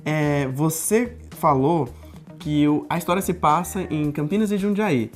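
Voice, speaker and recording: A woman's voice: deep voice, unhurried, soft background music. A woman hosting a TV culture program, interviewing a guest in a conversational way with frequent short replies. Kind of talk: TV studio interview.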